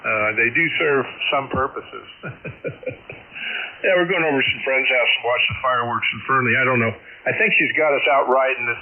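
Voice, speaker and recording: A man's voice: medium pitch, normal speed. A middle-aged or older man chatting casually over a two-way radio, wry and teasing. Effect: two-way radio transmission. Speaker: amateur radio operator calling in. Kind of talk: casual ham radio chat. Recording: narrow phone-like radio signal, loud static babble.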